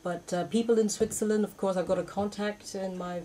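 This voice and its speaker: A woman from Cameroon, a musician guest on a radio show, talking in a chatty, relaxed way and laughing easily. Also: mid-range voice, fairly quick. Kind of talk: casual radio interview. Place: radio studio. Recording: clear background noise.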